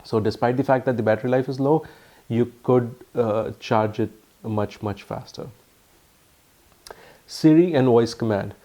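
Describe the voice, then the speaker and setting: A man with an Indian accent giving a casual, halting phone review full of ums and uhs. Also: mid-range voice, warm tone. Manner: earnest, explanatory. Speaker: tech reviewer.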